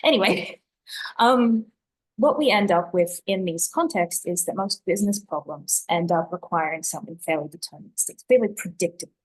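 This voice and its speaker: A woman speaking as an expert panelist, explaining things off the cuff in a measured, articulate way. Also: on the low side, slightly rough, rough recording. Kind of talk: spontaneous explanation on a conference panel.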